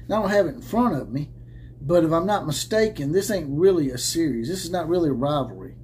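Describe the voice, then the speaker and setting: A man's voice: on the high side, lively intonation, fast talker, darkish tone, a little hiss or hum. A man in his thirties hosting a college football commentary channel, ranting to camera in a combative, sarcastic way with mocking laughs, filmed indoors at a desk camera. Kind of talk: opinionated rant to camera. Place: indoor room with caps hung on the wall. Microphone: camera at desk framing head and shoulders.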